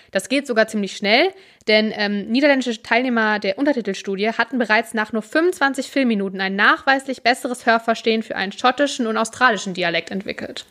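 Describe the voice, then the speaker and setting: A young woman in casual conversation, explaining at length and pausing often on fillers. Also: a little high, fairly bright tone. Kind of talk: casual chat about subtitles and series.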